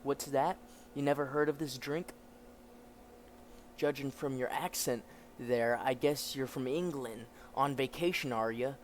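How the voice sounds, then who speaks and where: A man's voice: medium pitch, a little slow, slight background noise, natural voice. A man voicing a cheeky, mocking New York street hustler from a comic, slangy and taunting. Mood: cocky, teasing.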